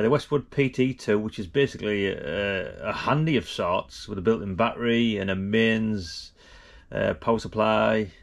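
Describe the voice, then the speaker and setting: A man's voice: fairly low pitch, vocal fry. A middle-aged man, chatting casually and informally as he hosts a vlog. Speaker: vlog host.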